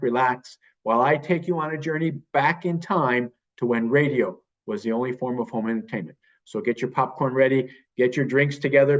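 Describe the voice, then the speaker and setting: Man, podcast host, promotional, repetitive, mid pitch, slightly dull sound. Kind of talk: podcast introduction.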